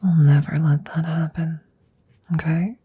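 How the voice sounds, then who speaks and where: Woman acting as a soft low witch, super breathy, slow n reassuring, asmr roleplay whispering. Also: flat delivery, full character voice. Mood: tender, reassuring. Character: gentle protective witch soothing her werewolf familiar. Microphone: close microphone. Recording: close-up whispery ASMR recording.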